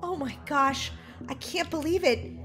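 A woman voicing an earnest, gently dramatic mother in a children's roleplay story. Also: sits high, measured pace, soft background music. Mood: solemn.

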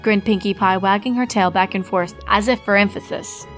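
A woman's voice: mid-range voice, steady tone, normal speed, over loud music. A woman reading a scripted story as its narrator.

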